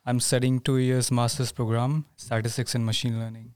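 A man's voice: mid-range voice, flat delivery, moderate pace, a little mellow, clean tone, no echo, clean studio sound. A man from India, a master's student speaking as a podcast guest in a hesitant way with many "uh" fillers. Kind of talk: casual interview chat.

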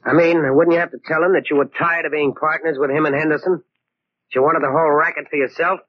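An actor playing a shrewd, bluffing American sleuth in 1940s radio crime drama, measured and quietly needling. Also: male voice, a little high, fairly even intonation, lightly acted. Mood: probing. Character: shrewd male amateur sleuth, cool and bluffing. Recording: dull, lightly noisy old radio recording.

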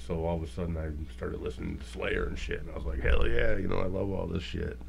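A man's voice: deep voice, steady tone, fast, vocal fry, slight background noise. A man in his fifties telling long rambling stories in casual chat, swearing often. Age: 50s. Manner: rambling storytelling, heavy swearing. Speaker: guest.

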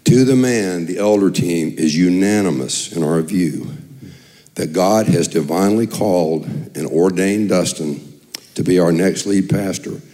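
A man with a raspy voice, speaking earnestly and from the heart as elder chairman addressing a church congregation. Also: low voice, unhurried, mellow. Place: church sanctuary.

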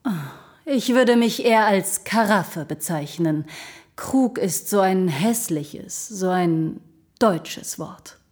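An actress playing a vain, seductive milk carafe in an audio drama, purring and condescending like an aging advertising diva. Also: female voice, medium pitch, expressive, slow, darkish tone. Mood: haughty. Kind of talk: scripted audio drama acting.

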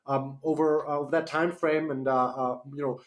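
A man's voice: fairly high pitch, steady tone, moderate pace. A man from Germany with a slight German accent, a startup CEO answering interview questions on radio, hesitant with many fillers and restarts.

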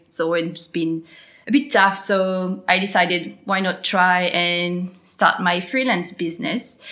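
Woman, French accent, thirties, chatty guest, bright tone, lowish pitch. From New Caledonia. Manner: chatty, laughs often, many fillers. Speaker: podcast guest, graphic designer. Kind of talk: casual interview conversation.

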